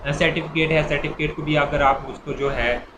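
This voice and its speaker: Male lecturer, Pakistani accent, slightly high-pitched, patient repetitive explaining, roomy echoing sound. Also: steady tone, a little fast. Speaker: law lecturer. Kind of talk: informal lecture, explaining with examples. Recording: echoey room with clear background noise.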